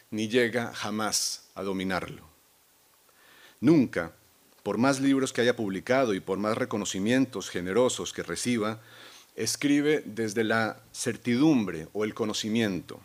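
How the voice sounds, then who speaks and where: A man with a Colombian accent, a novelist accepting a prize, speaking in a measured, reflective way with soft hesitations. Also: medium pitch. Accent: Colombian Spanish. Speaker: award-winning novelist.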